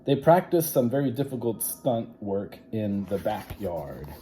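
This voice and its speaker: A man reading a children's story aloud like a teacher, expressive and deliberate, with dramatic pauses and asides. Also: on the low side, a little hiss or hum.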